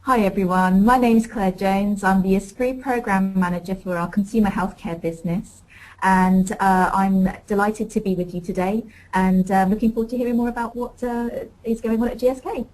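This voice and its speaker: A woman's voice: medium pitch, steady tone, normal speed, a little mellow, poor audio. A woman working as a programme manager, introducing herself on a webinar in an upbeat, friendly way.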